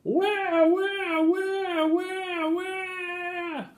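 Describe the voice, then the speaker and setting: A man voicing a wailing newborn baby girl in a read-aloud picture book story, with shrill high cries. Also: level tone, extremely slow, reverberant, heavily acted.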